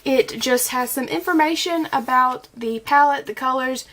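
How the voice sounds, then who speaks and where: A woman's voice: high voice, normal speed, a bit bright. A young woman vlogger with a slight cold, chatting and gushing through a casual makeup review, with plenty of ums and likes. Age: young adult. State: has a cold, a bit scratchy. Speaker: beauty vlogger.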